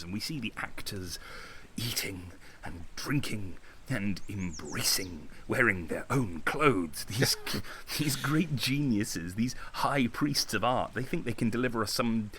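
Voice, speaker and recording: An actor playing a bitter, insecure young man in a naturalistic audio drama, speaking intensely with dramatic pauses. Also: fairly low pitch, fairly bright tone, slight background noise. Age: young adult. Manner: intense, agitated, self-pitying, with dramatic pauses. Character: young man, Konstantin, bitter, insecure, impassioned would-be artist.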